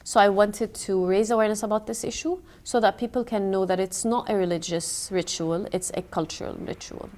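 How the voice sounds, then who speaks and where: A young woman from Palestine, a makeup artist and activist, speaking earnestly and in a measured way, with thoughtful pauses, in a documentary interview. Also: medium pitch, fairly even intonation, a little mellow.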